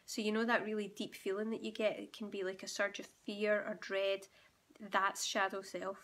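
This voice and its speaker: Woman host with a croaky voice; gentle direct-to-camera teaching talk. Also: medium pitch, level tone, normal speed. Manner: gentle, explanatory, addressing viewers directly. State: croaky, sore throat. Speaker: spiritual awakening guide and channel host.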